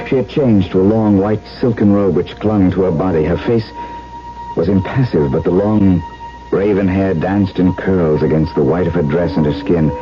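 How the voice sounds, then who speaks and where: A man playing an ardent, lovestruck gentleman in a radio drama, telling his tale in a florid, intense, romantic way. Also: low voice, close to an everyday voice. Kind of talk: 1970s radio drama acting and dramatic narration. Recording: dull 1970s radio broadcast.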